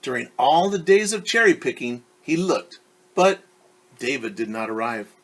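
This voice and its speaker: A man's voice: medium pitch, sing-song, a bit bright. A male teacher reading a picture book aloud to children, stopping to explain words and ask them questions. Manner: pauses to explain words and quiz young listeners.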